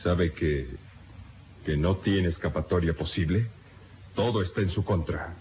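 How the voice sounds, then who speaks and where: An actor playing a dutiful, incredulous police captain in a radio serial, formal and insistent as he questions a prisoner. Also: sits low. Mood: stern. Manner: insistent, formal, halting with disbelief. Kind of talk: old radio serial acting. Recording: dull, noisy old radio recording.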